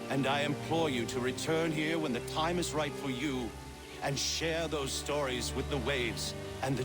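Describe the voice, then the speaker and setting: An actor as a dignified man speaking a eulogy, his voice a little higher than average. He is formal, reverent and kindly in game-drama style, with prominent music behind him. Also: natural voice.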